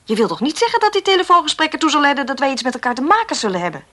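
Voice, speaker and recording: A woman's voice: sits high, very animated, very fast, bright, forceful tone, exaggerated voice. An actress playing an irritated, defiant woman in a Dutch radio play, speaking in a curt, dismissive way. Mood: annoyed, curt. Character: irritated, defiant woman pestered by a phone caller.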